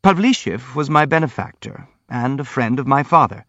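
A man voicing a gentle, timid young prince in an audiobook, speaking politely and earnestly. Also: medium pitch, lively intonation, moderate pace, dry recording, lo-fi sound, voice pushed up. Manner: polite, earnest, hastily apologetic.

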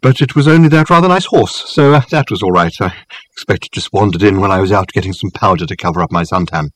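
A man voicing an eccentric, amiable elderly academic in an audiobook, rambling and halting with many hesitant ums. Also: low-pitched. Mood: cheerful, airy. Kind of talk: audiobook character acting.

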